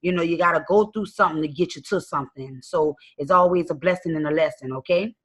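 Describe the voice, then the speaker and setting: A woman calling in from bed at home, giving a lively, folksy testimonial over the phone. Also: deep voice, fast, breathy edge. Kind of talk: casual testimonial. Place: in bed in a dark room at home.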